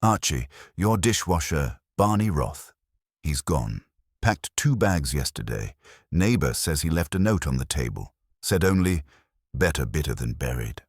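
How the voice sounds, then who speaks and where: A man voicing a quiet, grave male private investigator, reporting in clipped sentences in an audiobook mystery. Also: rumbling low, sing-song, a little slow, slightly rough, no echo, clean studio sound, exaggerated voice. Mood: grave, urgent.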